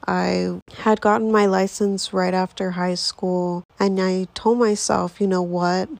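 Woman, 20s, podcast host; unhurried rambling chat with a fry-heavy voice. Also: medium pitch, a little mellow, dry recording. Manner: chatty, rambling, lots of fillers. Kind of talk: casual solo podcast intro.

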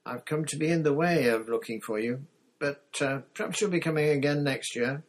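A man's voice: on the high side, a little fast, pitched above the performer's own voice, natural voice. A man voicing a kindly, plain-spoken country farm woman with homely rustic phrasing. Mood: homely, wistful.

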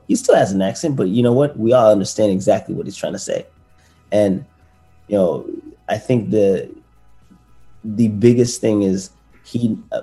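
A man's voice: fairly low pitch, gravelly, warm tone. A man co-hosting a podcast, talking earnestly and loosely about motivation, with many fillers and restarts. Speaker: podcast co-host. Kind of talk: casual motivational chat.

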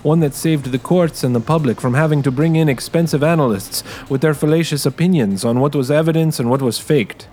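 A man's voice: fairly high pitch, a little fast, hardly any pauses, darkish tone. Man narrating dramatized fiction over music. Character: wary, streetwise male ex-con in a tech dystopia. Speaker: narrator of a dramatized story, in character. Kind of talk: first-person dramatized audio fiction narration.

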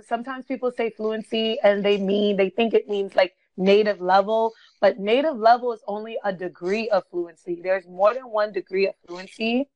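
A woman's voice: mid-range voice, a little mellow, no room sound. A woman from Brooklyn, a chatty guest on a podcast who laughs often and says "like" a lot. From Brooklyn, New York. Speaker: guest, blogger. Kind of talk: casual podcast interview. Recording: dull, dry lo-fi sound.

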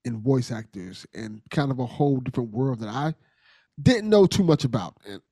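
A man's voice: medium pitch, lively intonation, moderate pace, warm tone, no echo. Casual male podcast host, dry sound. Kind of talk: casual conversational interview.

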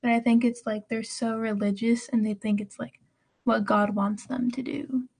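A woman's voice: on the high side, level tone, moderate pace, vocal fry, darkish tone, slightly rough. A woman in a student discussion, sharing her opinion casually and filling her speech with "like.". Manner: says "like" constantly.

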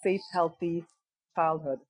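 A woman's voice: on the low side, fairly even intonation, takes it slowly, breathy edge, rough recording. A woman hosting an interview podcast, talking reflectively with fillers and asking questions in an upbeat way. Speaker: podcast host. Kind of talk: interview host chat.

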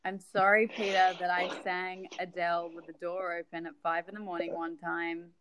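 A woman's voice: lowish voice, flat delivery, normal speed, poor audio. A woman speaking casually in a blunt, irreverent way. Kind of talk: casual informal speech.

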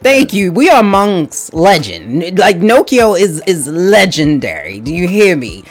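A woman from Baltimore co-hosting a casual chat show, animated and laughing often. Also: lowish voice, measured pace. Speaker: podcast co-host.

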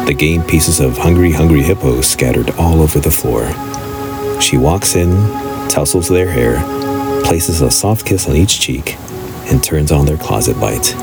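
A man's voice: extremely low pitch, animated, measured pace. A man narrating a scripted radio play. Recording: loud music behind the voice.

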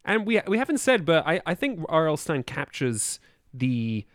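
Man, very lively intonation, casual book chat, halting conversational delivery, dry close recording. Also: on the high side, no room sound.

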